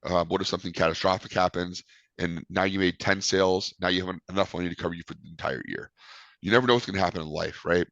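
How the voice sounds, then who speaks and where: A man's voice: sits low, fast talker, gravelly, fairly bright tone, no room sound, dull audio. A man with a cold leading a sales training call, talking loosely with many ums. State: sick with a cold.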